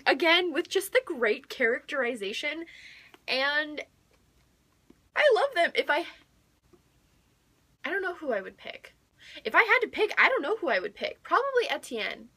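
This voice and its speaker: A young woman with an American accent and a high, bright voice. She talks like an enthusiastic book vlogger, casually addressing her viewers. Her delivery is gushing and emphatic, leaning on repeated words to make a point. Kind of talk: casual excited chat to viewers.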